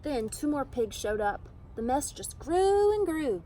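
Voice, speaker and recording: A woman reading a children's picture book aloud in an animated, emphatic storytelling manner. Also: high voice, unhurried, slight background noise. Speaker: storybook reader.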